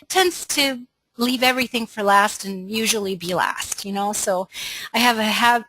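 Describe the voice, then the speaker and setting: Woman, middle-aged, American accent, medium pitch, informal class facilitator, thoughtful pauses. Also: pushed and bright, rough recording. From Los Angeles, United States. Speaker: webinar host and astrology course facilitator. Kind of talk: informal self-introduction to a class.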